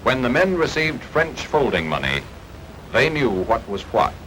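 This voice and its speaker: An American man delivers formal wartime documentary narration in the 1940s style. His pitch is mid-range but moves in lively, emphatic swings. The sound is an old archival film track with some noise beneath the voice. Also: measured pace, rough recording.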